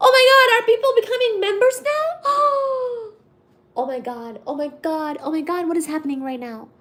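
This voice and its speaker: A woman in her thirties from India, chatting casually with her viewers on a livestream, giggly and quick to get excited reading the chat. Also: very high voice. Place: home room with cat shelves.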